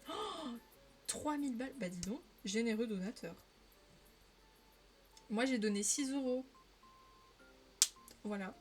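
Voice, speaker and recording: A woman's voice: fairly high pitch, expressive, takes it slowly, pauses often, a little mellow, soft background music. A young woman from the Paris region chatting casually on a live stream while she colors, often laughing and answering viewers' messages. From Paris region, France.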